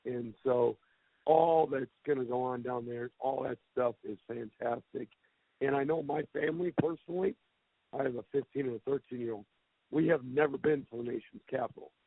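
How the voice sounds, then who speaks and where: A hoarse football coach in his forties from the Midwest speaks plainly over a phone line. His voice is gravelly and dry. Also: mid-range voice, normal speed, dry recording. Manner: apologetic, plain-spoken. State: hoarse from a cold. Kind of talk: opening statement on a press call.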